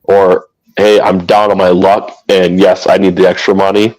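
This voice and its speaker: A man's voice: deep voice, normal speed, low-quality recording. A man chatting casually on a podcast, rambling and correcting himself as he goes. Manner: rambling, self-correcting, flat delivery. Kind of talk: casual chat.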